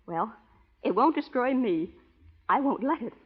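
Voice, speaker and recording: An actress playing a strong-willed, proper young frontier schoolteacher in an earnest old radio melodrama style. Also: female voice, a little high, lively intonation, measured pace, husky. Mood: bitter, resolute. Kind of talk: 1930s radio melodrama acting. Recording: dull old radio broadcast recording.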